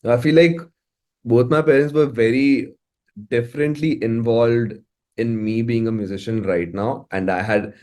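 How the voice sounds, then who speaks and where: A man with an Indian English accent speaks in a casual interview style. His voice sits at a medium pitch, and he talks conversationally, repeating words and telling things in an animated way. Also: a little slow. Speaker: music producer and musician being interviewed.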